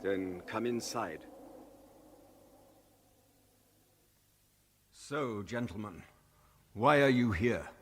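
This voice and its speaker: A man's voice: lowish voice, expressive, slow, fairly bright tone, breathy edge, heavily acted. An actor playing a stern, pious Father Superior of a convent in a period radio drama, severe, imperious and formal. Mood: guarded.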